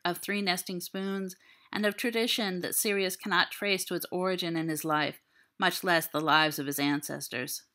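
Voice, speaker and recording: Low, very bright-voiced woman narrating fiction. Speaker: audiobook reader. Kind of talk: scripted literary narration.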